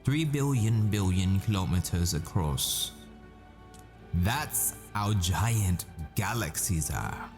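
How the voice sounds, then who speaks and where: A man playing a patient, absorbed math professor who lectures with rhetorical questions and deliberate pauses in a scripted roleplay. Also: low-pitched, expressive, music in the background. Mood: absorbed, teaching.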